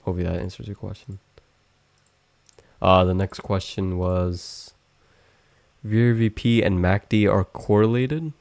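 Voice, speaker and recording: Young man, low voice, monotone, halting restarts, casual live stream lesson. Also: creaky. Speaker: trading stream host.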